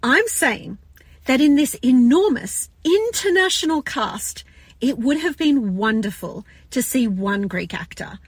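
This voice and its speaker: Breathy woman making an earnest argument. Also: high voice, animated, unhurried, dry recording. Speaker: commentator. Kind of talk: social media opinion clip.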